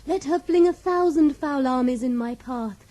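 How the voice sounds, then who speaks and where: A woman's voice: high voice, moderate pace, warm tone, lightly acted. An actress playing a devoted, fearless young woman in a fantasy audio drama, speaking fervent, archaic vows. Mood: yearning resolve. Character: devoted, fearless young woman vowing to find her love.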